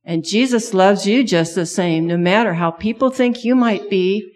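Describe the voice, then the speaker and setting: A woman's voice: mid-range voice, moderate pace, a little mellow. A middle-aged woman who grew up in Thailand and speaks Thai natively, telling a children's sermon story and asking the kids many questions.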